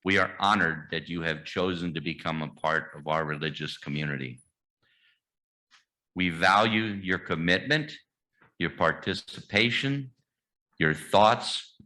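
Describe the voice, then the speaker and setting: Man, deep pitch, bright tone, formal liturgical reading, church service. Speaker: membership committee leader. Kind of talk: leading a church welcome ritual. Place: church sanctuary.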